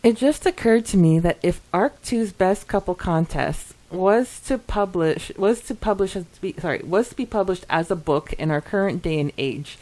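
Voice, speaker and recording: Woman podcaster with a lowish, giggly voice. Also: darkish tone, poor audio. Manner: giggly, informal. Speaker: podcast co-host. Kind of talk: casual podcast chat, reading out listener comments.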